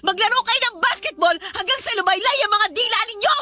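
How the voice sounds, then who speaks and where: A woman's voice: very high-pitched, lively intonation, fast, pushed and bright, heavily acted. A woman playing an exasperated young mother and live-in partner in an emotional Filipino radio drama. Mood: furious. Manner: emotional, scolding.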